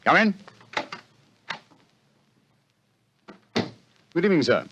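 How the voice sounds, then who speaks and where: An actor playing a self-assured English gentleman detective with a British accent and a precise, theatrical manner in 1940s radio style. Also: a little high, very animated, very fast, background noise. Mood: brisk, assured. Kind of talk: 1940s radio drama acting. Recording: old 1940s radio recording.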